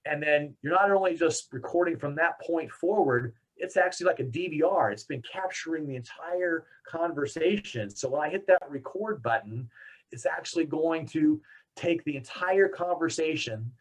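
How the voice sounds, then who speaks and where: An American man presenting a software product demo in a conversational, explanatory way. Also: on the high side, animated, normal speed, poor audio.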